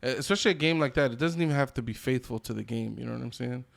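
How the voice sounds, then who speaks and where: A man with a medium-pitched voice talks as a podcast co-host. He is casual and opinionated, and he swears freely as he chats. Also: rapid-fire, vocal fry. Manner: opinionated, profane. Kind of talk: casual chat about games and films.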